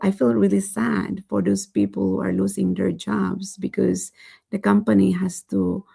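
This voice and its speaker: A woman vlogger giving a motivational talk to her viewers, earnest and repeating "right?", her voice going hoarse. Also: mid-range voice, little pitch movement, measured pace, warm tone. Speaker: vlogger hosting a daily challenge.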